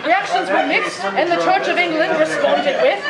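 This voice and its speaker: A woman's voice: very high-pitched, moderate pace, gravelly. A woman performing a grandiose, crude parody of a famous Victorian naturalist, declaiming a mock-serious comic monologue in a restaurant. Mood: boastful. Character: Charles Darwin parody, boastful, crude old naturalist. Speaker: friend performing a birthday monologue. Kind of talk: theatrical comic monologue reading. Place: indoors in a busy sushi restaurant. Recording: noisy crowded echoey restaurant room. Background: loud crowd chatter and laughter.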